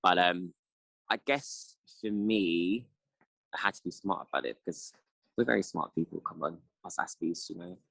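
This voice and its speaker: A man in his thirties with a low voice talks things through slowly in an interview. He fills his answers with ums and hedges. The sound is close and dry. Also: creaky, fairly bright tone, no room sound, rough recording. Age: 30s. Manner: reflective, with many fillers and hedges. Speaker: interview guest. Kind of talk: casual interview talk.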